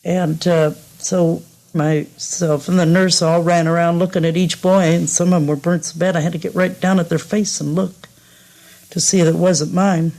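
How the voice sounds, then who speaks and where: A woman's voice: on the low side, level tone, normal speed, a little mellow, low-quality recording. A woman recounting her experience in an unscripted 1950s radio interview. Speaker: interviewed mother. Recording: 1950s radio documentary tape.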